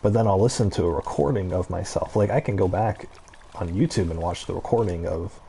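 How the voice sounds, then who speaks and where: A man with a very low, dark voice talks casually while playing a game. His delivery is rambling and halting, often circling back to restate a thought. There is faint noise in the recording. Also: creaky. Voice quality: low-pitched voice. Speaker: gaming video creator. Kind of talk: casual gameplay commentary, rambling chat.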